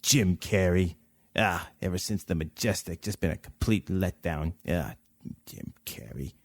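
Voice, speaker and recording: A man's voice: deep voice, unhurried, no room sound, clean studio sound. A man acting a cranky, muttering neighbor in a comic audio drama.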